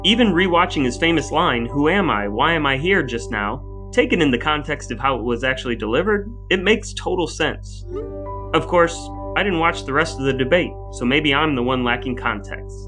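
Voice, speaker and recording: A man's voice: fairly high pitch, lively intonation, normal speed, music in the background. A man co-hosting a podcast, moving between scripted narration and banter in a wry, playfully formal and wordy manner. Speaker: podcast co-host.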